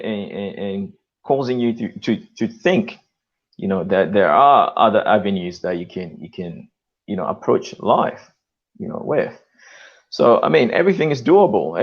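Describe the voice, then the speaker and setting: A man's voice: lowish voice, slightly rough, muffled recording. A man hosting his own channel, talking in an emphatic, lecturing way, often tagging lines with "okay" and "you know.". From lives in Australia. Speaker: channel host. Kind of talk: live-stream call discussion.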